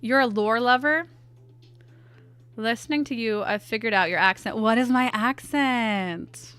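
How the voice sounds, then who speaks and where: A woman with a stuffy nose, chatting casually with her viewers in quick asides while she draws on stream. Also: on the high side, fairly bright tone, smooth, dry recording, faint music behind. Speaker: art streamer. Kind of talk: casual chat while drawing.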